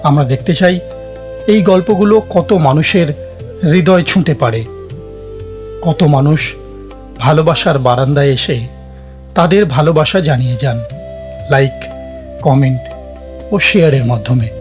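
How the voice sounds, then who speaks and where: A man's voice: a little high, a little slow, pauses often. A man giving a formal, scripted introduction as the presenter of a story series. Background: background music.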